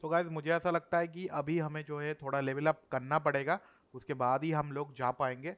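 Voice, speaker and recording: A man's voice: on the high side, fairly even intonation, rapid-fire, fairly bright tone, lo-fi sound. A man with an Indian accent giving casual gameplay commentary as a streamer.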